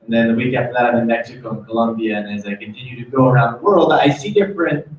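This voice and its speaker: A man's voice: medium pitch, quick pace. American male executive, informal motivational speaker, dull distant sound in a heavily reverberant meeting room. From United States. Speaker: corporate executive, guest speaker. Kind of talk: informal motivational product talk. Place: meeting room, speaking before small audience. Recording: very echoey room, distant muffled mic.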